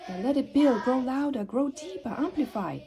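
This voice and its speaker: A woman reading a children's story aloud expressively, with dramatic emphasis and pauses. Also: high voice, lively intonation, noisy background. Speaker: storybook reader.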